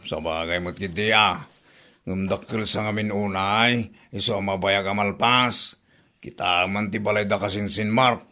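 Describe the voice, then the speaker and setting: A man's voice: lowish voice, steady tone, a little slow, pushed and bright. A man with an Ilocano accent playing a husband with a house too big for his means in a comedy radio drama. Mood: reassuring.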